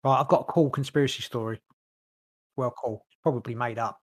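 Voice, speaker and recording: A man's voice: medium pitch, steady tone, a little fast. A man co-hosting a podcast, rambling through a story in loose casual chat, swearing now and then. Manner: rambling, lots of fillers and restarts, swears. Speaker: podcast co-host.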